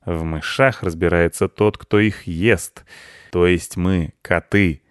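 A man's voice: deep voice, steady tone, slow pace, clean tone, dry recording, studio quality. A man voicing a self-important, sceptical ginger tomcat in a storybook, drawling in grumpy disapproval. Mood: disdainful.

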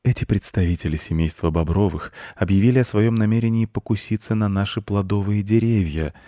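A man's voice: on the low side, fairly quick, mellow, dry recording, clean studio sound, natural voice. Man as a courtly, over-polite sleek cat. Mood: dignified, polite. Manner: courtly, formal, apologetic. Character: suave, sleek, over-polite Siamese-type house cat. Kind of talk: animal storybook character voice.

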